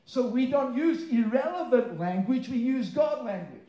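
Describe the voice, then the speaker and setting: A man preaches in a high, mellow voice, speaking English as his second language. He leans hard on key words, drawing them out, then slips into quick informal asides and questions to his listeners. The room echoes clearly around him. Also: animated, lo-fi sound. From born abroad, moved to Australia aged nine. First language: not English; English is a second language. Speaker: pastor preaching. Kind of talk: sermon to a live congregation. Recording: roomy, echoing live sermon sound.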